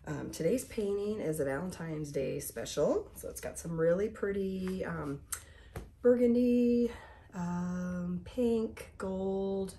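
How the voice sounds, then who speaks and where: A woman with a slightly hoarse voice, giving a casual, halting painting tutorial as the host. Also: mid-range voice, warm tone, a little hiss or hum. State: slightly hoarse from being sick. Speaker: host of an art tutorial channel.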